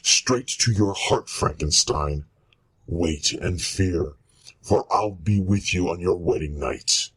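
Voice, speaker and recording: Husky, slow-talking vengeful monster. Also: male voice, low voice, a bit bright, no room sound, full character voice, low-quality recording. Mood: cold menace. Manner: slow, ominous, deliberate delivery. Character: menacing male monster, vengeful creation tormenting its maker. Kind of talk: amateur radio-play style horror acting.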